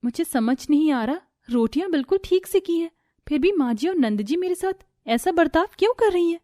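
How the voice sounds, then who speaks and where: Woman voicing a meek, plaintive young bride, high voice. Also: animated, fairly quick, mellow, no room sound. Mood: hurt. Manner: plaintive, timid. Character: meek, mistreated young daughter-in-law, hurt and pleading.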